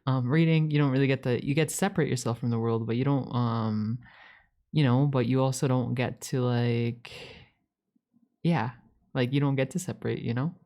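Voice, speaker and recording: A man's voice: medium pitch, steady tone, moderate pace, a little mellow, lightly acted. A man playing an eager, affectionate young puppy-boy roommate in a casual ASMR roleplay, rambling earnestly with stammers and repeated words.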